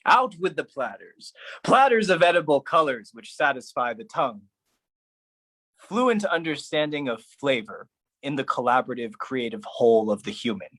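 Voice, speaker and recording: A spoken-word performer with a medium-pitched voice delivers a poetic theatrical monologue. The pace is slow and deliberate, with dramatic, heavy emphasis placed on the words. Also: a bit bright.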